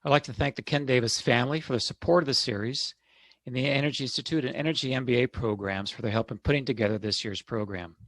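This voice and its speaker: A man hosting and moderating a panel discussion over a video call, giving formal, measured introductions. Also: mid-range voice, a bit bright, dry recording. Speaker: moderator, energy institute director.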